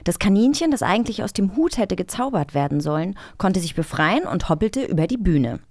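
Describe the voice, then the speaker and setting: Lively, teasing woman, closely miked. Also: medium pitch, brisk, no room sound, studio quality. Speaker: podcast host. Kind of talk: casual chat, reading out quiz claims.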